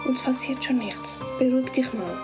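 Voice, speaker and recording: Paula, a daring teenage girl, played by a schoolgirl, speaks in a high, bright voice with a Swiss German lilt. She comes across as curious and sure of herself. Music plays softly underneath. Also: normal speed, lightly acted. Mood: reassuring. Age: teens. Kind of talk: student radio play.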